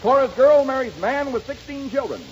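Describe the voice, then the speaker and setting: An actor playing a brash newspaper gossip reporter with snappy, urgent delivery in a 1930s radio comedy. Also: male voice, very high-pitched, lively intonation, brisk. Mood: brisk, urgent. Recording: dull, hissy 1930s radio recording.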